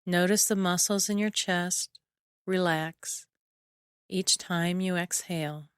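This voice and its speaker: A woman narrating a scripted guided relaxation in a soothing, measured way, with short phrases and long pauses. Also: on the low side, fairly even intonation, clean tone, no room sound, clean studio sound. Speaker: guided imagery meditation narrator.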